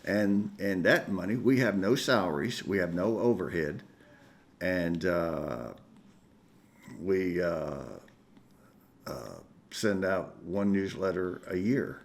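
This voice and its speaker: A pastor speaks to his congregation in a very deep, low-pitched voice. His delivery is casual and folksy, with frequent fillers and pauses as he runs through church news. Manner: folksy, conversational, with many fillers. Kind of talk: church announcements. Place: church sanctuary.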